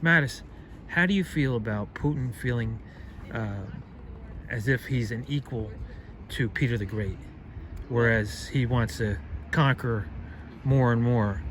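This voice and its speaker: A man's voice: medium pitch, unhurried, a bit bright. A man from the United States asking probing questions in a casual street interview. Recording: street noise.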